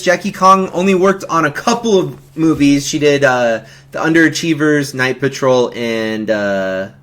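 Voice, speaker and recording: Halting, rambling man chatting about movies. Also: mid-range voice, a bit bright. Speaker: host of a film commentary stream, amateur horror filmmaker. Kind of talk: casual film commentary chat.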